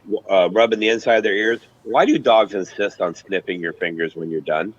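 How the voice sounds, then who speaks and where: A man's voice: lowish voice, fairly quick, muffled recording. A man chatting casually as a panelist on a sports show. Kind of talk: casual chat.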